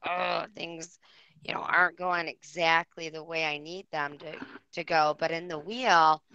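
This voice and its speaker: A woman with a hoarse voice giving a tarot reading on air, rambling and searching for words. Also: sits low, bright, forceful tone, no echo, highs cut off. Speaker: psychic reader.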